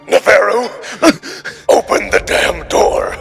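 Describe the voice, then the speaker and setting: A man's voice: sits high, little pitch movement, slow pace, a bit bright, voice pushed up, full character voice. A man voicing an irritable, domineering wolf emperor with a strained, growling delivery, as if over an intercom in a visual novel drama. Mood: angry, urgent. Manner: strained, breathy, growly barking. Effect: intercom speaker. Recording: long reverb, music behind.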